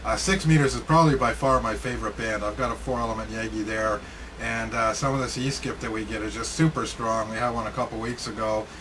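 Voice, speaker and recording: A man chatting casually as an amateur radio operator, talking in a halting, matter-of-fact way. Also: fairly low pitch, steady tone, fairly bright tone. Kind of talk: casual chat over ham radio. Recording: clearly noisy ham radio recording.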